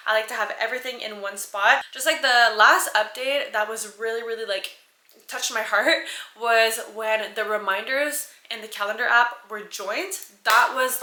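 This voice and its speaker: An upbeat woman vlogger from Canada, French her first language, chats excitedly to camera. Her voice sits a little high and sounds bright and crisp. The room around her gives a noticeable echo. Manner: chatty, enthusiastic, upbeat. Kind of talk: casual chat to camera.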